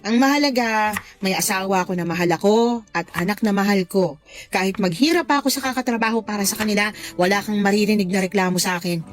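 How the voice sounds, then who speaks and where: A woman's voice: mid-range voice, fast, close to an everyday voice. An actress playing a blunt, teasing, practical working mother in a Filipino radio drama, frank and wry, with a Filipino accent. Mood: frank, earnest. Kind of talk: Tagalog radio drama acting. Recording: music bed behind the dialogue.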